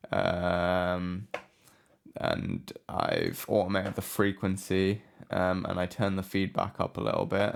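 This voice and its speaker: Man, tutorial host, slow delivery, creaky voice, hesitant, unscripted, casual. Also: low-pitched. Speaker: music production tutorial host. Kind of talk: casual screen-recorded software tutorial. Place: indoor room with a window.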